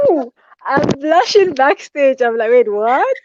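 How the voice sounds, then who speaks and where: A woman's voice: high voice, big pitch swings, slow, background noise. A woman from Cameroon with a Cameroonian accent, casually introducing herself as a guest on a talk show. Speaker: guest fashion designer. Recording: dull, lo-fi call audio.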